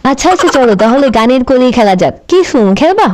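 A woman's voice: high voice, very animated, a little fast, a little mellow, no echo. A woman voicing a bossy, boastful grandmother who scolds and teases her doting husband in a comedy cartoon. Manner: scolding, dismissive, self-important.

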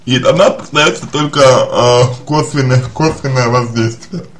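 A man giving unscripted personal advice as a psychologist, speaking deliberately and haltingly, often repeating and rephrasing his phrases. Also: mid-range voice. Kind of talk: spoken advice to a listener, unscripted.

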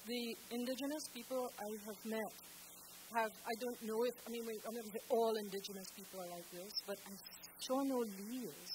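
Firm, halting woman on a panel. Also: a little high, fairly even intonation. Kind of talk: panel discussion response. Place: theatre stage panel. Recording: hissy auditorium recording.

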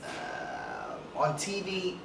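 A man's voice: wildly swooping pitch, drawn-out words, creaky, a crowd in the background. A man in his thirties with a light voice, talking animatedly as a guest in a seated interview, with some stammered starts. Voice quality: light, high-ish voice for a man. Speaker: broadcast journalist guest. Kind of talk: casual interview talk. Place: indoor studio set, seated in armchair. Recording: roomy echo, distant mic, background noise.

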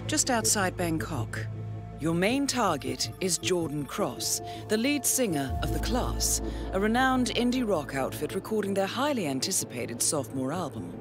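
An actress playing a composed, businesslike intelligence handler, giving formal, measured, articulate mission briefings in a video game. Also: female voice, mid-range voice, very animated, over music, natural voice.

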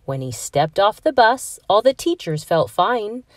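Expressive, unhurried woman reading a storybook. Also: mid-range voice, no room sound, clean studio sound. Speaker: storybook reader. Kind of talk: children's read-aloud, rhyming scripted narration.

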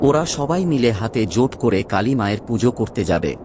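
A man's voice: medium pitch, animated, quick pace, noisy background. A man giving scripted narration for a cartoon story. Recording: loud music behind the voice.

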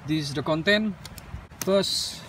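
A man's voice: on the high side, a bit bright, recorded from a distance. Man narrating an unboxing outdoors, street noise. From Malaysia. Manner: unscripted, halting, with frequent "uh" and non-standard English grammar. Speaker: drone reviewer. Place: outdoors on pavement.

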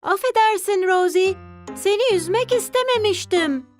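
A performer voicing a cheerful, curious little boy in a children's cartoon dub, with an eager, expressive childlike delivery. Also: extremely high pitch, normal speed, faint music behind. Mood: sorry.

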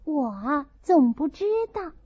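A woman's voice: sits high, lively intonation, measured pace. A woman voicing a meek, easygoing young noblewoman in an audiobook, mild and unconcerned. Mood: mild, resigned. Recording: dull lo-fi dry recording.

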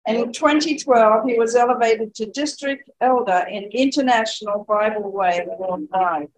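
A woman reading a speaker's introduction from a sheet in a church, measured and plain. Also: fairly high pitch, poor audio. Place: church sanctuary.

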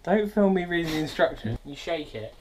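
A man's voice: on the high side, lively intonation, fairly quick, noisy background. A young British man hosting a cooking vlog in his small home kitchen, swearing and laughing constantly. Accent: British English. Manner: profane, rowdy, laughs and yelps a lot. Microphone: fixed wide-angle camera across the room.

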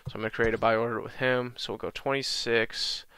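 A young man with a slight cold-raspy voice, casually walking through a video game tutorial with lots of ums. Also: mid-range voice, brisk, no room sound. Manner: relaxed, many ums and uhs, talks through menus. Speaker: gaming channel host.

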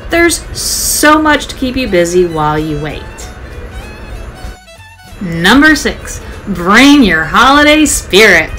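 A woman's voice: mid-range voice, sing-song, slow pace, sharp tone, soft background music, rough recording. A woman narrating her travel vlog in a scripted voice-over, upbeat, chatty and enthusiastic. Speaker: travel vlogger.

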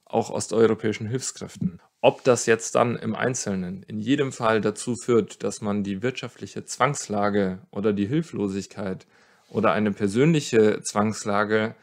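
A man's voice: fairly low pitch, measured pace. A man speaking as a legal expert, explaining things step by step in an interview and often rephrasing.

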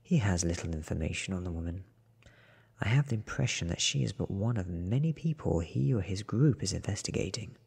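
A man in his thirties giving a soft-spoken, hushed ASMR audiobook reading, breathy and often close to a whisper, slow and deliberate, close to the microphone. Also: low voice, expressive. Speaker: reader.

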